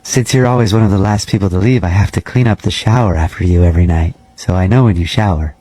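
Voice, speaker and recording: A man playing a soft-spoken, creepily friendly night janitor who talks with polite, unsettling earnestness on a prank call. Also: low-pitched, fast talker, husky, pitched below the performer's own voice. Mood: soft, oddly polite.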